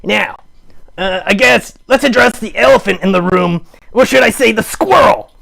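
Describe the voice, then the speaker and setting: High, gravelly, excitable puppet voice. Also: male voice, moderate pace, heavily acted. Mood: excited, earnest. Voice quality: raspy. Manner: emphatic, exclamatory, mock-campaign speech. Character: excitable puppet character, earnest champion of dogs. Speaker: puppeteer host. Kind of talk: live improvised puppet show monologue.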